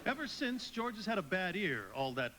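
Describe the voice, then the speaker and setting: A kindly senior angel briefing a junior in a radio drama. His high voice moves animatedly up and down with avuncular authority, over faint noise. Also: big pitch swings, normal speed. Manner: instructive, avuncular. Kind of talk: live radio play acting.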